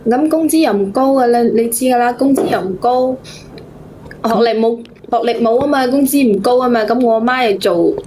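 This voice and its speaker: High-voiced Cantonese woman, halting and plaintive. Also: noisy background. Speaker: phone-in caller. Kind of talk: casual personal storytelling to a host.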